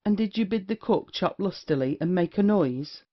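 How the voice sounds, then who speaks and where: A performer playing a witty, lewd, cynical gentleman rake in an audio play of a period comedy. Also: mid-range voice, lively intonation, moderate pace, natural voice. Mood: brisk. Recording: dull, lo-fi audio.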